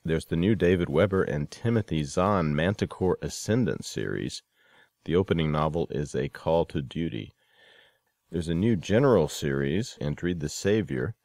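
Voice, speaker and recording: A man with a notably low voice speaks as a podcast host. He delivers announcements and introductions in a presenter's style. The sound is dry, with hardly any room on it. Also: a little mellow, no echo. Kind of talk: scripted promotional reading and podcast introductions.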